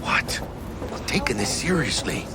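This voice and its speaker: A man's voice: mid-range voice, big pitch swings, a little slow, very noisy. An actor playing a brash, easygoing male street mercenary in a video game, talking in casual, clipped slang. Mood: playful. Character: brash, easygoing, burly street mercenary man posing as bodyguard. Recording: music behind the voice.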